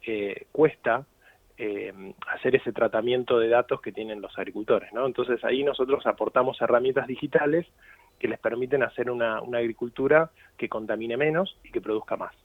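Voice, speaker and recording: An Argentine man with an Argentine accent, giving measured, explanatory answers as a guest in a radio interview. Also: medium pitch, no room sound. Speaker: guest, company CEO. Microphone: phone. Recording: tinny phone line.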